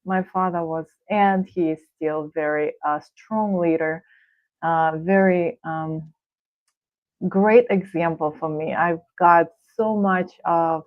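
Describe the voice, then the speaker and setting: A middle-aged woman with a Russian accent, a guest coach answering thoughtfully with frequent pauses and fillers. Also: fairly low pitch, no echo. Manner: thoughtful, halting with many fillers. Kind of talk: casual interview answers. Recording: tinny phone-like line.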